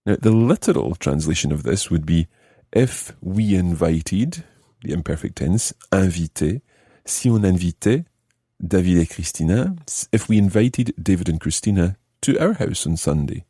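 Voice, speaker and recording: Deep, slow, mellow male language teacher. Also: lively intonation, breathy edge, no room sound, studio quality. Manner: didactic, careful enunciation. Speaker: language teacher, podcast host. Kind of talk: explanatory lesson, translating phrase by phrase.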